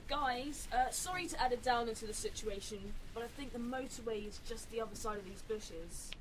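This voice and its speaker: A woman's voice: a little high, a little fast, lightly acted. A woman playing an anxious, watchful survivor of an apocalypse in a radio drama. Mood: wry, wary. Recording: noisy amateur recording with ambience.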